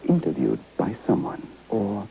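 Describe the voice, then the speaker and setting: An actor playing a haunted, curious former student who narrates a vintage radio horror tale in a deliberate, literary, brooding way. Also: male voice, deep voice, huge pitch swings, vocal fry, exaggerated voice. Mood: intrigued, hushed. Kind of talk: dramatic first-person horror narration. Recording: muffled, noisy old radio recording.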